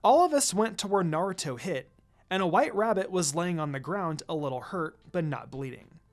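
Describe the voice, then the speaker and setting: A narrator reads a scripted story in the first person. The pitch sits in a middle register and moves in an animated way, with music playing faintly behind the voice. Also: normal speed. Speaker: fan fiction narrator.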